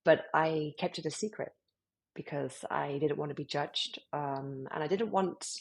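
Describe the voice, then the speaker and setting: Husky, low-pitched British woman. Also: unhurried. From United Kingdom. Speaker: club founder, interview guest. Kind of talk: conversational interview answers.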